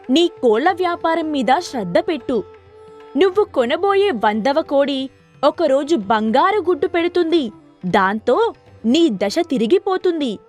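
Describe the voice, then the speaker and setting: Woman doing a wise holy man from a storybook, high voice, lively swings, patiently lecturing. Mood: admonishing, promising.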